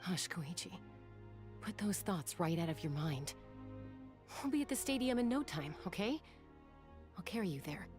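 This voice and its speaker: A woman's voice: fairly low pitch, expressive, moderate pace, long pauses, over music, close to an everyday voice. Woman as earnest, protective big-sister warrior. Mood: reassuring, worried. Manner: firm, reassuring, emotional. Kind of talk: dramatic video game voice acting.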